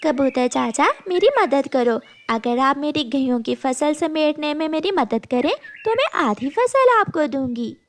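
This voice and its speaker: A woman's voice: high-pitched, expressive, measured pace, hardly any pauses. A woman voicing a kind, worried mother bird in a cartoon, earnest and plaintive. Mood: earnest, kindly.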